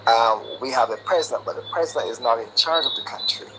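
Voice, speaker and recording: A man's voice: mid-range voice, fast talker. Older Barbadian man on a very noisy call. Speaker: caller. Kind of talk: earnest opinion on a live call-in discussion.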